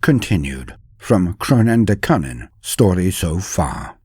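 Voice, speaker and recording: Male narrator for comedic fantasy saga: theatrical and pompous with a wry edge, raspy tone, very lively intonation. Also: low voice, slow, dry recording. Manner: theatrical, grandiose, wry, with archaic flowery diction. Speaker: storyteller, channel narrator. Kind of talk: mock-epic scripted narration of a comic fantasy tale.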